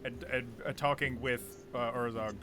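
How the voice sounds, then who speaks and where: A man's voice: mid-range voice, steady tone, normal speed, crowd noise. A man playing a tabletop role-playing game, bantering casually with the group.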